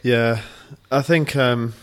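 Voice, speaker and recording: A man's voice: mid-range voice, fairly even intonation, slow. A young man co-hosting a casual podcast, laid-back and playfully mocking. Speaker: podcast co-host. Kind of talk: casual chat.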